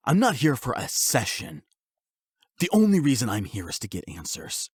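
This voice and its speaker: A man plays a young male delinquent in an audio roleplay, defiant and quick to anger. His delivery is gruff and blunt, always pushing back with suspicion. The recording is dry. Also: medium pitch, wildly swooping pitch, a little mellow, no room sound, full character voice. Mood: hostile, demanding. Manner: blunt, confrontational, swearing.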